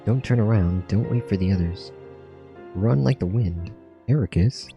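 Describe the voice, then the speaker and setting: A man voicing earnest young fantasy student warriors, creaky-voiced. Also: on the low side, normal speed, highs cut off. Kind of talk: read-through of game cutscene dialogue. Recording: music under the voice.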